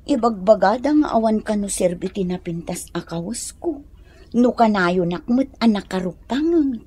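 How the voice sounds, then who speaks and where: A woman playing a nagging, sharp-tongued housewife in an Ilocano comedy radio drama, with an Ilocano accent. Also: mid-range voice, lively intonation, normal speed, husky. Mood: sorry, subdued.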